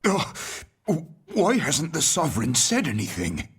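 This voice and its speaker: A man's voice: fairly high pitch, wildly swooping pitch, measured pace, slightly rough, heavily acted. An actor playing an anxious, doubting townsman in a video game crowd scene.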